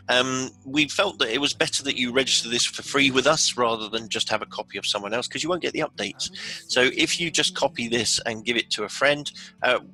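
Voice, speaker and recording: A man's voice: mid-range voice, pushed and bright, rough recording. A man presenting an informal instructional screen walkthrough, explaining each step aloud in a conversational way. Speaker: software tutorial presenter.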